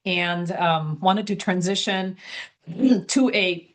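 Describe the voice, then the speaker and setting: An older woman from Germany, introducing herself in a measured, articulate way on a video call. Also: fairly low pitch. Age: older, semi-retired. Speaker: coach, former healthcare executive. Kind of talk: self-introduction in an online meeting.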